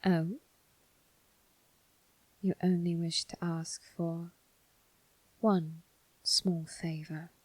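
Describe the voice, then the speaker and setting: A woman voicing a coolly curious, formal demon servant, softly teasing and half-whispering in an ASMR roleplay. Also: lowish voice, little pitch movement, no echo, exaggerated voice, poor audio. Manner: soft, measured, breathy, half-whispered.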